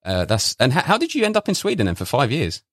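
A man's voice: mid-range voice, wildly swooping pitch, fast, a bit bright, no echo, clean studio sound. A man hosting a podcast, reading a scripted intro, then asking friendly, somewhat hesitant questions. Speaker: podcast host. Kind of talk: podcast interview.

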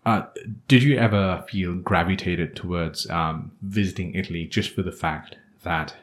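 A man from Nepal whose first language is Nepali, speaking English with a Nepali accent as a podcast guest, with a thoughtful, pausing chat. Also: low voice. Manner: thoughtful, many pauses with um and uh. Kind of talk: casual chat.

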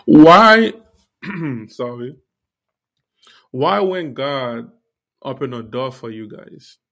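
A man's voice: medium pitch, animated, drawn-out words, fairly bright tone. Earnest Haitian-born man hosting a podcast. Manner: earnest, preachy, talks straight to listeners with many fillers. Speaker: podcast host. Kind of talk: casual faith podcast monologue.

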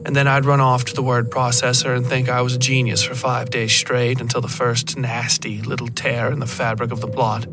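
A man reading scripted horror fiction as a podcast narrator, in a deliberate, literary storytelling manner. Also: medium pitch, flat delivery, noisy background. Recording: prominent music behind the voice. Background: music.

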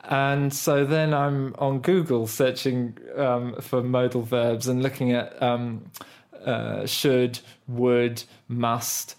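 A man's voice: mid-range voice, flat delivery, slow, darkish tone. A young British man with a British accent talks in an easy, conversational way. He tells rambling, self-deprecating stories full of restarts and asides, like a co-host of a chat podcast. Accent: British English. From Britain. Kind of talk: casual conversational storytelling.

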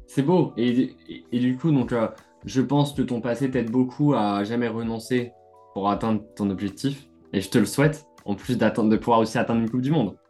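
A man with a mid-range voice hosts a podcast interview. His questions ramble and hesitate. Soft guitar music plays faintly behind him. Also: medium pitch, normal speed. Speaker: podcast host, mental coach.

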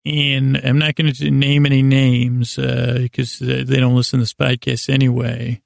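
An American man telling rambling, improvised stories as a podcast host, halting and often interrupting himself with deadpan whimsy. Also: mid-range voice, no room sound, studio quality. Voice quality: gravelly, creaky. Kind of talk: rambling, improvised monologue storytelling.

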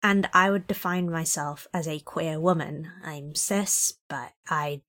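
A woman's voice: deep voice, a little slow, fairly bright tone. A woman in her twenties from Hong Kong, a podcast guest chatting in a wry, articulate way with frequent laughs. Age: 20s. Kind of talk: casual interview chat.

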